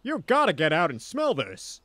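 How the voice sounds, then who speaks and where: A man's voice: a little high, huge pitch swings, normal speed, pushed and bright, a little hiss or hum, full character voice. A man playing an upbeat, corny, enthusiastic middle-aged dad in an audio drama. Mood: excited, cheerful. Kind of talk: fan audio drama acting.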